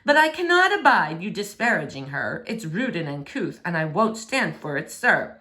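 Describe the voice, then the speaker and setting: A woman's voice: lowish voice, sing-song, normal speed, sharp tone. A woman voicing an indignant king and blunt father in a rhyming storybook, stiff and formal in protest. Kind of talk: storybook read-aloud in rhyming verse.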